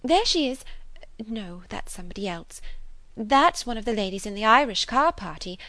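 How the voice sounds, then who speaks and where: A woman's voice: on the high side, expressive, normal speed, a bit bright, no room sound, voice pushed up. Woman putting on a fluttery, chattering aunt. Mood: eager, flustered. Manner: fluttery, rambling. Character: chattering, eager, kindly aunt. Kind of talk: classic novel character dialogue.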